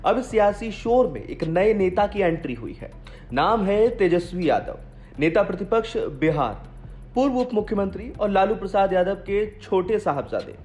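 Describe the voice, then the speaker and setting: A woman's voice: medium pitch, expressive, moderate pace, darkish tone. Woman news presenter over faint music. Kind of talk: scripted news narration.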